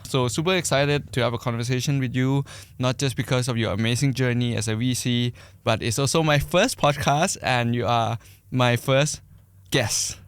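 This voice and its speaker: A man's voice: mid-range voice, normal speed, clear, resonant tone, dry recording. A man hosting a podcast, introducing and interviewing a guest in a conversational style. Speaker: podcast host.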